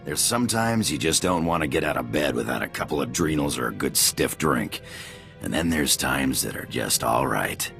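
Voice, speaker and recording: A roguish space pirate from a video game, with an extremely deep, gravelly voice. He is laid-back and wry, and talks over faint music. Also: lively intonation, normal speed, full character voice. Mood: cheerful, relaxed. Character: easygoing, roguish male space pirate companion.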